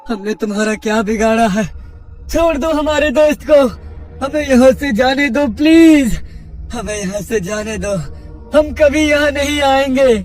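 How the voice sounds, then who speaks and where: A timid young male student, acted by a man in an animated horror tale. The pitch is extremely high and the delivery nervous and pleading. The voice is a little away from the mic with faint music behind. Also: measured pace, distant mic. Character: timid, uneasy young male college student, reluctant friend.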